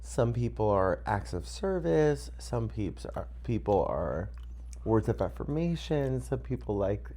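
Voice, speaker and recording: A woman's voice: a bass voice, very animated, unhurried, mellow. A woman in her forties chatting casually as a podcast co-host, blunt and cheeky. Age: 40s. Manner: blunt, cheeky, swears freely. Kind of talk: casual chat.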